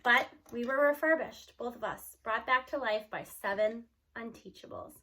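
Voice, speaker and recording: A woman reading a children's novel aloud in an expressive storytelling style, as narrator. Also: a little high, moderate pace.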